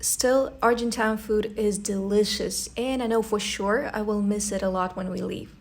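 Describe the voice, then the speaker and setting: A woman's voice: mid-range voice, steady tone, moderate pace, a little mellow. A young woman from a post-Soviet country with an Eastern European accent, narrating her life abroad in a friendly, earnest, conversational way. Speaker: vlogger. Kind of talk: scripted voice-over narration.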